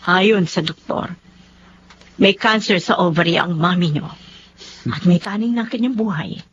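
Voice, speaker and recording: A woman playing a gentle aunt in a Filipino radio drama, softly and earnestly persuading younger relatives to forgive. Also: on the low side, unhurried, highs cut off, a little hiss or hum, lightly acted. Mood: earnest, coaxing.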